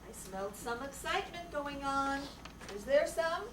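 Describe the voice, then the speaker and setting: Woman as high, excitable young child. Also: moderate pace. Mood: excited, daring. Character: eager young child castaway, excitable, daring. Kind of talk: old-time radio acting. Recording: echoey room, distant mic, noisy.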